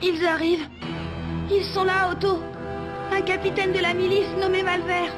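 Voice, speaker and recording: Very high-voiced, anxious young child. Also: female voice, level tone, normal speed. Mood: urgent, pleading. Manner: urgent, pleading. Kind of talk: French animated series dubbing. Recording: loud music under the voice.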